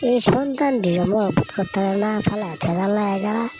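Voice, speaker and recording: A woman's voice: mid-range voice, brisk, close to an everyday voice. A woman voicing an older village auntie, a chatty, rustic and gossipy neighbour in a cartoon. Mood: disapproving. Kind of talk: Bengali moral-story cartoon dialogue. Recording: loud music behind the voice.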